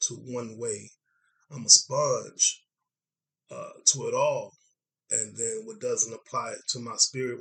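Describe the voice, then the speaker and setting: Hoarse, gravelly podcast host from Dallas. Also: male voice, fairly low pitch, pushed and bright, poor audio. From Dallas, Texas. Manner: reflective, searching for words with frequent pauses. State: hoarse from congestion. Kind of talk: casual conversation.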